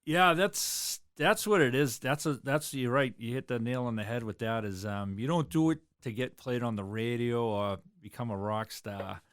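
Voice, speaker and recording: A man's voice: mid-range voice, normal speed, few pauses, a bit bright, clear, resonant tone, no room sound, studio quality. A man, a drummer talking loosely in an interview, rambling with lots of fillers. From South Boston, USA. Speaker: drummer and guest. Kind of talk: casual interview chat.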